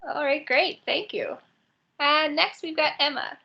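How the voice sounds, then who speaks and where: Host woman: high, bright, slightly gravelly voice over a muffled video call, brisk roll-call manner. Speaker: orientation host. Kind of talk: online orientation session.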